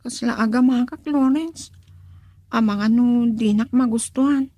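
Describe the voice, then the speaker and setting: A woman's voice: a little high, a little slow, mellow. A young woman with a Filipino accent acting a hesitant, earnest daughter who is considering marriage in a radio drama. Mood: casual, earnest.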